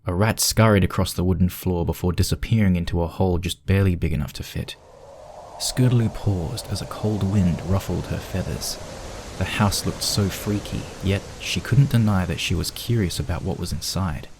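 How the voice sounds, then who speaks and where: A man's voice: deep voice, moderate pace, background noise. A man reading scripted narration for a spooky fan fiction story.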